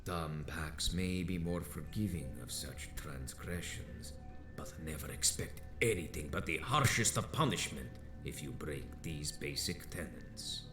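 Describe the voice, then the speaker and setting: A man's voice: rumbling low, animated, unhurried. An actor playing an ancient, stern male vampire sect elder in a video game, speaking in a grave, formal and imperious way. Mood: stern, warning. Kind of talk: theatrical video game dialogue. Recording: roomy echo with game music behind.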